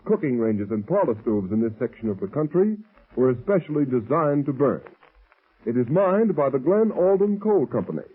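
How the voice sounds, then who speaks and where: Male American announcer, 1930s sponsor spot: higher-pitched, slightly rough, emphatic scripted pitch on vintage radio recording. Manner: emphatic, formal, measured salesman delivery. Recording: muffled, band-limited old radio recording.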